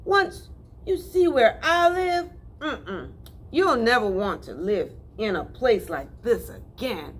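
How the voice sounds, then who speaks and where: A snooty little city mouse who brags about everything he owns and sneers at plain things, as a woman puts him on. His voice sits very high and swoops about with scorn, slow and drawn out, with a breathy edge. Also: lively intonation, exaggerated voice. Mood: boastful. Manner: bragging, sneering, fussy. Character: boastful snobbish male city mouse.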